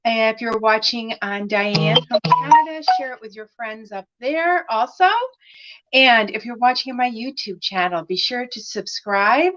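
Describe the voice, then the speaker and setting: Woman craft host, unhurried and chatty, a little raspy after laryngitis, dull lo-fi stream audio. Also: fairly high pitch, animated, a bit bright, soft background music. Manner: chatty, greets viewers by name, many fillers. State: recovering from laryngitis, slightly raspy at times. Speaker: craft demonstrator hosting a live stream. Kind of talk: casual live-stream chat with viewers.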